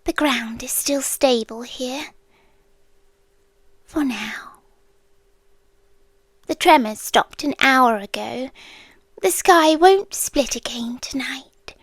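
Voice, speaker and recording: A British woman playing an ancient, calm, doting apocalyptic girlfriend in a soft, partly whispered ASMR roleplay, speaking slowly and deliberately. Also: sits high, no room sound, full character voice. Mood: soothing, eerily calm. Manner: slow, hushed, deliberate delivery.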